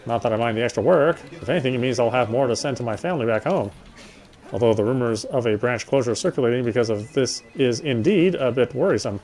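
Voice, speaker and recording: A man's voice: mid-range voice, lively intonation, fast talker, a little mellow. A man gaming and reading a visual novel's narration aloud, with casual asides. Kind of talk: let's play read-aloud with casual asides.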